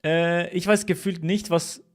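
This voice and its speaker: A young man with a voice a little higher than most men's. He chats loosely with his stream audience, joking, swearing and repeating himself. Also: steady tone, measured pace. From Switzerland. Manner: chatty, jokey, repeats himself, swears at chat. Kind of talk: casual livestream chat with viewers.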